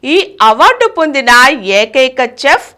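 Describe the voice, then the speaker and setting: A woman newsreader with a high, bright voice that moves a lot in pitch. She reads her bulletin in a measured way and lifts each headline. The tone is clear and forceful. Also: very animated. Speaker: news reader. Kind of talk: read news bulletin.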